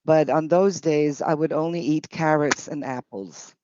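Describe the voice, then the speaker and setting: A woman's voice: low voice, measured pace, darkish tone, no room sound. A woman interpreting at a public hearing in short, hesitant, piecemeal phrases. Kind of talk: halting consecutive interpretation. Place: committee hearing. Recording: dull, muffled audio.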